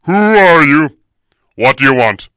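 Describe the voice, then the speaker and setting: A huge, wary bear of a man growls bluntly in a fantasy storybook. The voice is gruff and booming, with a slow, heavy pace and a forceful edge, recorded close and dry. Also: animated, dry recording. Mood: gruff, suspicious. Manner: blunt, forceful, laughing to himself.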